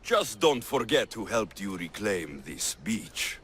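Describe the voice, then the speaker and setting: An actor playing a confident, wry ancient Greek mercenary hero in a video game, speaking in a direct, dry-humored way. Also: male voice, on the low side, sing-song, slow pace, a bit bright, a little hiss or hum, exaggerated voice. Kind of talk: dramatic video game cutscene acting. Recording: reverberant hall, music behind.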